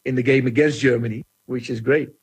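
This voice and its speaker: Blunt, husky-voiced assistant coach. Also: male voice, mid-range voice, fairly even intonation, a little fast. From Netherlands. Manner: blunt, outspoken. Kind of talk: interview answers.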